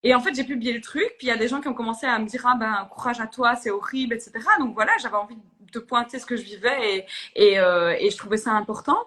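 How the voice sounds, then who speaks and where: This is a young woman, speaking as a guest in a live interview. Her voice sits slightly high. She talks earnestly, with frequent fillers and restarts as she thinks aloud. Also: fast talker, bright, forceful tone. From Belgium. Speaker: politician guest. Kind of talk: live interview on social media.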